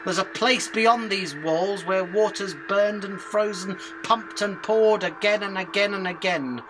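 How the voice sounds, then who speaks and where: A woman's voice: mid-range voice, measured pace, background noise, natural voice. A woman playing a tiny, earnest, defiant winged insect prophet of doom in a radio drama, with an urgent, preachy, sardonic delivery. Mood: ominous. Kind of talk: theatrical radio drama acting.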